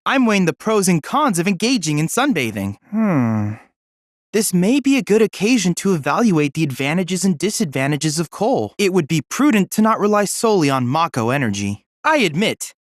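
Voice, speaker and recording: Boyish game android helper, super polite n formal, very expressive pitch, dry studio sound. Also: male voice, no room sound. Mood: thoughtful, curious. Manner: formal, precise, bookish, courteous diction. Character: polite, earnest boyish android research assistant. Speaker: game character, research guide. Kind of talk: scripted video game voice acting.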